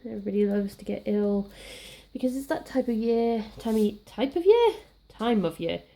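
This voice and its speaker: A young Welsh woman, a little croaky from being sick, chatting casually and rambling while she works on a scrapbook page. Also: medium pitch, lively intonation, normal speed, mellow. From Wales. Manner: chatty, rambling, self-deprecating. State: slightly croaky from illness. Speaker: scrapbooking crafter. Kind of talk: casual crafting chat.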